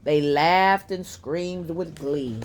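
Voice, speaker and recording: A woman reading a children's picture book aloud as a bedtime story, in a deliberate storytelling manner. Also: low voice, slight background noise.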